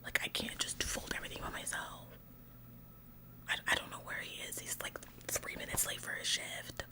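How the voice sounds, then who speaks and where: An officious retail worker, played by a woman for an ASMR roleplay, whispers throughout. She is smug and passive-aggressive, repeating herself in halting little nags as if she were in charge. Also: bright, forceful tone. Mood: fussy, indignant. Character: bossy, officious female store employee, smug tattletale. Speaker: ASMR performer.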